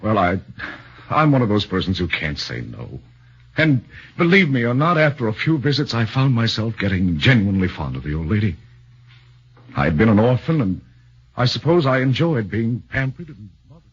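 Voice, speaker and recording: A husky, anxious accused man. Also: lowish voice, sing-song, moderate pace. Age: 30s. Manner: anxious, earnest, stammering. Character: nervous young man accused of murder, earnest. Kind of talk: 1940s radio acting. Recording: muffled 1940s radio broadcast.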